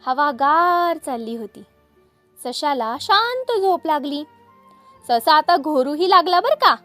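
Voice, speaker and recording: A woman storyteller narrates for children in a high voice. She takes her time and is expressive, addressing young listeners directly, with faint music behind her. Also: slow. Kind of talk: scripted fable narration for children.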